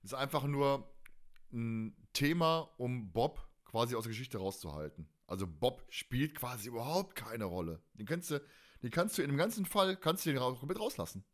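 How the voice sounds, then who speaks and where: A man's voice: mid-range voice, sing-song, moderate pace. A man hosting a casual fan podcast, talking in a colloquial, opinionated and blunt way. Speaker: podcast host.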